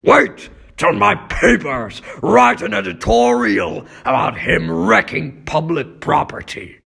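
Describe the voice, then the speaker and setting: Middle-aged comic book press tyrant, very gravelly, husky, pompous, suspicious, miserly, demanding. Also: male voice, mid-range voice, pushed and bright, full character voice. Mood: outraged. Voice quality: raspy, gravelly. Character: blustering middle-aged male newspaper boss, suspicious and miserly. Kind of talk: comic book dub acting.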